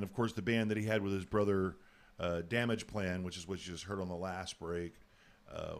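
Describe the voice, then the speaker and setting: Low booming male radio host. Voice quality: big booming voice. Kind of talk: casual live radio show chat.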